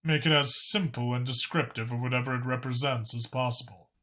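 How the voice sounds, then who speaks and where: A man's voice: mid-range voice, steady tone, fairly quick, bright, forceful tone, close to an everyday voice. A man voicing a proud male dragon spymaster in a science fiction story, speaking in a precise, formal, sardonic manner. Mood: lecturing, patient.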